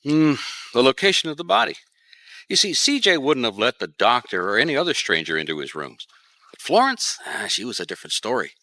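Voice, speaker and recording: A man's voice: mid-range voice, very animated, fairly quick, sharp tone, no echo. A man acting a wry, shrewd private detective in a noir radio mystery, probing in a dry, colloquial way. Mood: musing. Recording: faint noise, live theatre stage.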